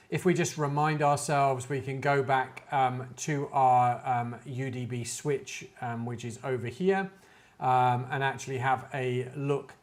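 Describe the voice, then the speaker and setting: A man presents an informal tech demonstration at a middling pitch. He thinks aloud as he works, a little hesitant, explaining things step by step like a hands-on reviewer.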